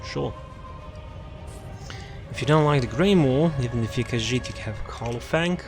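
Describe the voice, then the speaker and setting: A man's voice: mid-range voice, moderate pace. A man giving casual, off-the-cuff video game commentary as he presents a build guide, rambling and repeating his phrases. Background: music.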